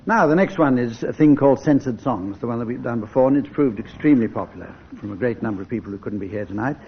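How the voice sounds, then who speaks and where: A man's voice: medium pitch, expressive, quick pace, husky. An English man hosting a radio panel game as chairman, with a dry, mock-formal delivery. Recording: dull, noisy old radio recording.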